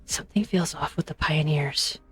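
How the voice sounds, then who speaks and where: A woman's voice: low voice, moderate pace, a bit bright, slightly rough. A woman voicing a stern, protective, skeptical doctor in a game drama fan dub, frank and pressing. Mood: wary. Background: background music.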